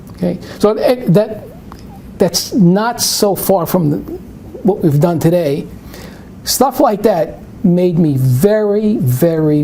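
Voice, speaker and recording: A man's voice: fairly high pitch, warm tone, slight background noise. An older man with a New York accent answering questions in a live math webinar, rambling into anecdotes and restarting his sentences. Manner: rambling, anecdotal, self-interrupting. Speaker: math presenter.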